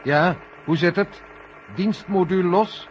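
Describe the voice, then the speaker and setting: Clipped mission control guy, high voice, old radio play, noisy. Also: takes it slowly. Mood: terse, concerned. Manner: clipped, businesslike. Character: brisk male mission control radio operator serving a general. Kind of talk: 1970s Dutch science fiction radio drama acting. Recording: dull, noisy vintage radio play recording.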